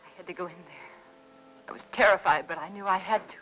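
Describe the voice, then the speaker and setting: An actress playing a frightened, desperate housewife in old-time radio noir style, anxious and confiding. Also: female voice, fairly low pitch, fast, a bit bright, close to an everyday voice, poor audio. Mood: haunted, hushed dread. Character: frightened, desperate housewife hiding her husband's death. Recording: old radio drama with faint music.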